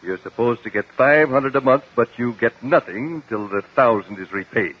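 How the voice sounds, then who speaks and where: An actor playing a stern, principled older uncle and guardian, firm and admonishing, in 1950s radio drama style. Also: male voice, mid-range voice, lively intonation, normal speed, husky. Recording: dull lo-fi old radio recording.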